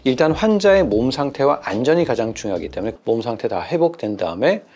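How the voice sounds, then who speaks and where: A middle-aged man, a plastic surgeon, chatting through common patient questions in a casual, animated way and quoting his patients. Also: mid-range voice, a little fast, breathy edge. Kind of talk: clinic Q&A explainer video.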